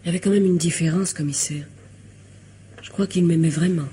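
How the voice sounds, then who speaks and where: An actress in a French radio mystery drama playing a weary, lovelorn young woman, wistful and hesitant, confessing in a hushed way. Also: fairly low pitch, steady tone, brisk, a little hiss or hum, lightly acted. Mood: lovelorn, resigned.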